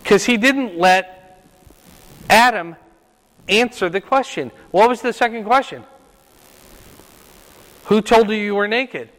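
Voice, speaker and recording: An older man with a loud voice leading a Bible class, quizzing his group and pressing them to answer. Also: sits high, expressive, takes it slowly, pauses often. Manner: questioning, repeats and presses for answers. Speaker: Bible study teacher. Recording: reverberant room.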